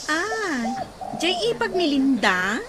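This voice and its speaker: A woman's voice: high-pitched, big pitch swings, slow, music in the background, full character voice. A woman playing a nosy, judgmental village gossip in a radio comedy drama, with an Ilocano accent. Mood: scolding. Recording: loud background noise, animal sounds.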